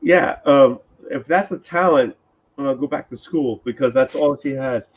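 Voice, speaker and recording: A man's voice: mid-range voice, moderate pace, breathy edge, highs cut off. A man chatting on a live stream, crude and teasing, swearing freely at his friends. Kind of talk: casual chat on a live stream.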